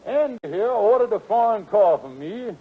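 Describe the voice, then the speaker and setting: A man's voice: sits high, expressive, moderate pace. An actor playing a blustery small-time con man in a 1940s radio comedy, folksy and cajoling. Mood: wheedling. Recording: tinny, narrow 1940s radio recording.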